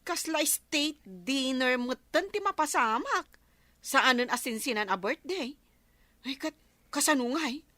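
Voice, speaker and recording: A woman's voice: high-pitched, lively intonation, slow, sharp tone, no echo, exaggerated voice. A woman acting a gossipy, teasing village neighbor in an Ilocano radio comedy drama, with an Ilocano accent. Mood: curious, amused.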